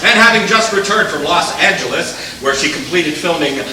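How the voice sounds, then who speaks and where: A man's voice: fairly high pitch, animated, moderate pace, close to an everyday voice. A man playing a genial, grandiose 1940s radio announcer addressing a studio audience in a live stage play. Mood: showy, proud. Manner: grandiose, showman-like. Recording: echoey theatre from far off, crowd noise. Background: live audience noise.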